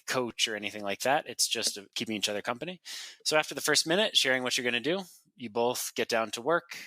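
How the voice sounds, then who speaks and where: Guest founder: lower-pitched man, bright tone, dry close sound, laid-back and chatty. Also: no echo. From North America. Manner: relaxed, chatty, laughs easily, frequent fillers. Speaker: podcast guest, company founder. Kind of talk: casual interview talk.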